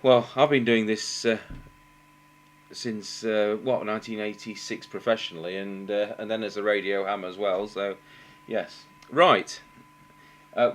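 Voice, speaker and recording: Low-voiced Englishman, halting, over humming noise. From England. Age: middle-aged. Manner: halting, thinking aloud. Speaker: radio engineer demonstrating repairs. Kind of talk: unscripted technical demonstration. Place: indoor workbench, voice off camera. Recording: noticeable background noise with hum.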